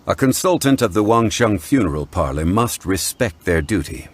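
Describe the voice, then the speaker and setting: A man voicing a composed, learned consultant in a video game, speaking in a formal, measured, scholarly manner. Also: fairly low pitch, big pitch swings, slightly rough. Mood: calm, dutiful. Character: composed, learned male funeral parlor consultant, dutiful and measured. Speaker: consultant and historian. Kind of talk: video game voice acting, expository storytelling.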